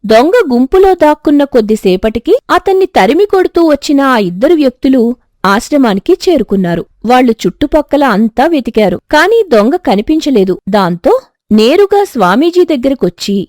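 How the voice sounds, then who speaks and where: Female storybook narrator: high-pitched, animated, expressive scripted delivery, dry close recording. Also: normal speed, dry recording.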